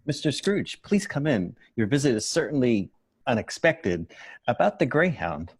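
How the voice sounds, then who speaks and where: A man playing a humble, grateful clerk and father in an amateur table read, with a husky voice. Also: mid-range voice, very animated, moderate pace, no echo. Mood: polite, surprised.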